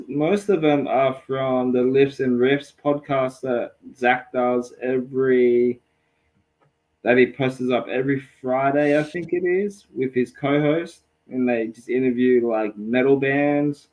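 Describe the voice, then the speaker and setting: Rambling co-host on a dull lo-fi line. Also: male voice, medium pitch, slow pace. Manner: rambling, hesitant, swears casually. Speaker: podcast co-host. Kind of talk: casual podcast chat.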